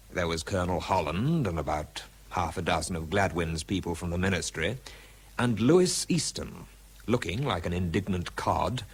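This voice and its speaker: An actor playing a dry, sober English scientist who tells his wartime story in a measured, understated way. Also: sits low, breathy edge, full character voice. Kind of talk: radio drama narration and dialogue.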